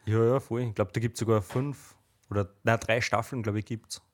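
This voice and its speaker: A young man co-hosting a casual podcast, relaxed and slangy, often agreeing. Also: fairly low pitch, normal speed. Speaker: podcast co-host. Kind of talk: casual chat.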